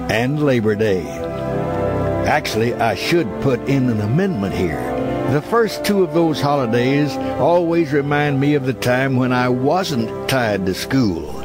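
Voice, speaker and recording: A man reading a scripted memoir as a narrator, in a nostalgic, reflective storytelling manner. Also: medium pitch. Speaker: audiobook narrator. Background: background music.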